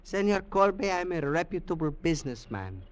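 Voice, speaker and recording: Frail rich peruvian collector, high pitched, spanish accent, very formal and crafty, 1940s radio show. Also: male voice, big pitch swings, smooth. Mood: guarded, persuasive. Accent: Spanish-accented English, Peruvian. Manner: formal, deliberate, courteous. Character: wealthy frail Peruvian collector, courtly and shrewd. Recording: old 1940s radio broadcast.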